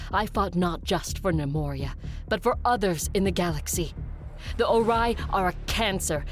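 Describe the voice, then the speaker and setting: A woman's voice: medium pitch, close to an everyday voice. A woman playing a resolute vampire conqueror in an audio drama, firm, earnest and commanding. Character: resolute female vampire conqueror rallying her allies. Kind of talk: dramatic audio fiction acting.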